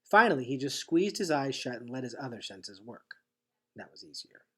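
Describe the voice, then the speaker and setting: A man with a husky voice reading a children's novel aloud as expressive storybook narration. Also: medium pitch, normal speed. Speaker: teacher reading a chapter aloud.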